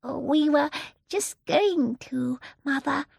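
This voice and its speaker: A woman's voice: sits high, creaky, dry recording, pitched above the performer's own voice, heavily acted. Woman playing a timid, frightened grown daughter. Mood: meek, frightened. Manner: timid, halting, hushed. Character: huge, meek, frightened grown daughters of a tyrant mother.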